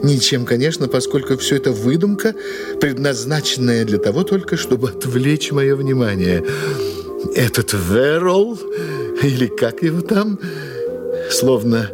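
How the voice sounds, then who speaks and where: A man acts an imaginative, dreamy male writer in an audio drama. He muses theatrically, very slowly, with a widely swinging melody to his speech. Loud music plays beneath him. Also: mid-range voice, lightly acted. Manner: theatrical, musing aloud with drawn-out pauses.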